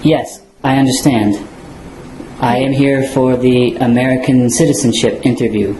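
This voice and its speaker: A man's voice: mid-range voice, fairly even intonation, a little slow, background noise. A man playing a citizenship applicant, giving short, plain answers. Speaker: applicant in a mock interview. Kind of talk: scripted role-play. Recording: echoey room.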